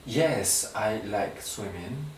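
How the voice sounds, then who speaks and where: A slow, hesitant man, pausing on fillers as he answers exam questions in English. His voice sounds roomy, with a strongly echoing space around it. It is picked up from some distance, with faint background noise. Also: fairly low pitch, animated. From Guatemala. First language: Spanish. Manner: hesitant, with many uh fillers and restarts. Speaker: exam candidate.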